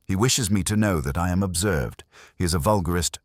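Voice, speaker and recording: A man's voice: low voice, fairly quick, no echo, studio quality, pitched above the performer's own voice. A man voicing an imperious genius detective in an audiobook, formal and coldly contemptuous. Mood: cold contempt.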